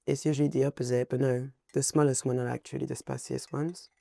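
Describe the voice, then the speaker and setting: A man's voice: mid-range voice, flat delivery, fast talker, creaky, dark tone, dry recording. A young man with a French accent, a guest chatting casually about food, searching for words as he talks. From France. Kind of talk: casual chat.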